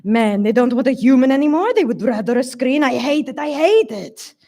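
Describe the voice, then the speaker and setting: A woman's voice: high voice, animated, fairly quick, mellow, voice pushed up. A woman voicing a brash, foul-mouthed stripper in her mid-twenties with a Nova Scotian accent, in a storytelling reading. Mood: declaring, disgusted.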